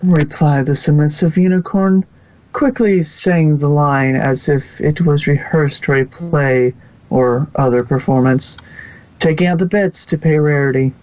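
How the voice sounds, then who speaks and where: A man's voice: fairly high pitch, a little slow, a little mellow, dry recording. A man reading fan fiction aloud as a scripted story narrator, sometimes stumbling and rereading words. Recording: slightly muffled recording.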